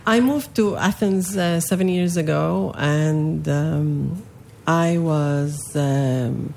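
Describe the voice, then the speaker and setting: Low, gravelly woman, slow and hesitant. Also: a little mellow, slight background noise. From Palestine. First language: Arabic. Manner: hesitant, many fillers and restarts. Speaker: festival organizer, guest. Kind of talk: interview answers.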